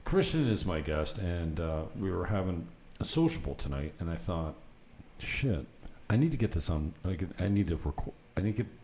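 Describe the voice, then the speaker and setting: Male talk host, very low voice, loose rambling delivery, unfiltered, casual podcast, background noise. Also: very animated, moderate pace, mellow. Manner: rambling, unfiltered, mild swearing. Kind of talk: unscripted casual podcast chat with anecdotes. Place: apartment set up for recording.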